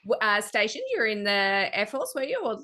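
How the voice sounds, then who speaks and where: A woman's voice: medium pitch, sing-song, moderate pace, sharp tone. A woman, hosting a relationship interview over a video call and asking curious questions. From Australia. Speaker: podcast host. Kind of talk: interview host.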